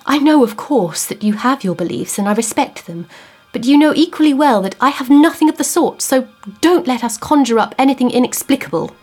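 A woman reading the part of a troubled, grieving widower in an audiobook ghost story, anxious and earnest, sometimes dropping to a hush. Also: high-pitched, lively intonation, fairly quick, faint music behind, pitched above the performer's own voice. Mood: uneasy. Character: troubled grieving widower, man, middle-aged, uneasy.

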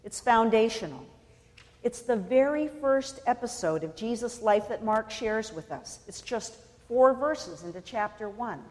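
A woman from California preaching a sermon in a measured, deliberate way, pausing for emphasis. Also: a little high, expressive, darkish tone, long reverb tail. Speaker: pastor.

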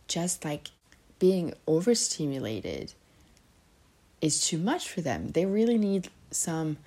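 A woman's voice: sits low, dark tone, breathy edge. A woman from Serbia hosting a solo podcast, talking casually and rambling a little, with frequent fillers and self-corrections. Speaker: podcast host.

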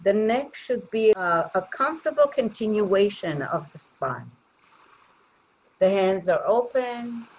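A woman leading a guided meditation in a gentle, soothing way, leaving long pauses between short phrases. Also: medium pitch, lively intonation, low-quality recording.

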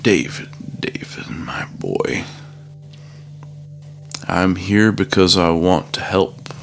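A man doing a hushed, gravelly old stranger. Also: deep voice, faint music behind, full character voice. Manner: slow, hushed, drawn-out speech, dropping to a whisper.

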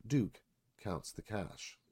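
Male narrator, lively swinging delivery, dramatic reading of stage directions. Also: lowish voice, takes it slowly, breathy edge.

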